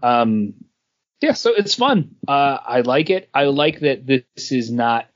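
Slow-paced man, slightly muffled recording. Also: medium pitch, lively intonation. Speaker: comics podcast co-host. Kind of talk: casual chat reviewing comics. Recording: dull lo-fi sound.

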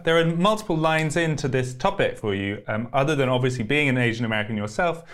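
A man hosting a podcast interview, asking questions in a measured, thoughtful way with hedges. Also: medium pitch, lively intonation, fairly bright tone. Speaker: podcast host.

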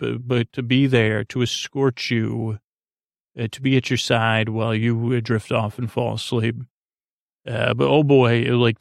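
A man hosting a sleep podcast in a soothing, meandering monologue, with a creaky voice, halting false starts and drawn-out pauses. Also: mid-range voice, no echo, clean studio sound. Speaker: podcast host. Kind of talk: rambling, soothing bedtime monologue.

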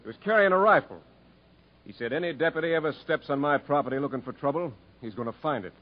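Casting: male actor as testifying local deputy, radio drama, higher male register, dull archival sound. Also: fast talker, lightly acted. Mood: accusing. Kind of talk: 1950s radio drama acting. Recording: muffled 1950s radio recording.

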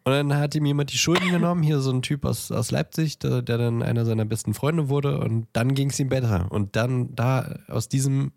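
A man's voice: medium pitch, fairly quick, creaky, few pauses, a little mellow, no room sound, clean studio sound. A man co-hosting a podcast, chatting casually and informally with a teasing streak. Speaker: podcast co-host.

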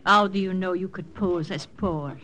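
A woman's voice: on the low side, normal speed, fairly bright tone, slight background noise, lightly acted, rough recording. An actress playing a proud young Frenchwoman with a French accent in a 1940s radio drama, speaking in a formal, clipped and cold way. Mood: cold, guarded. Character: proud young French woman, cool and refusing. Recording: old radio broadcast with music.

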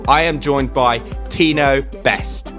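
A man's voice: medium pitch, takes it slowly, a bit bright. British man hosting a podcast, music behind. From United Kingdom. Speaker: podcast host and interviewer. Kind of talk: podcast introduction and interview chat.

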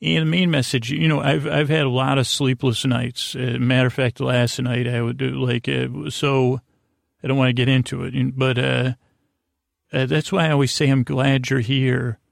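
A man with a creaky, gravelly voice hosts a sleep podcast, recorded very dry. He rambles in a halting way, circling around his thoughts and leaving sentences unfinished. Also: medium pitch, level tone, dry recording. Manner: meandering, halting, often trailing off mid-thought. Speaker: podcast host. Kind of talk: rambling bedtime podcast monologue. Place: podcast studio.

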